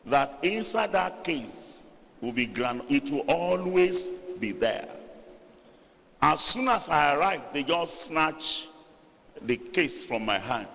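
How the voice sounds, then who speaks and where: Emphatic Nigerian Yoruba preacher, high lively voice. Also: male voice. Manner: emphatic, rising to loud stressed phrases, then dropping low. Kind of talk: sermon. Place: church hall. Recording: reverberant hall.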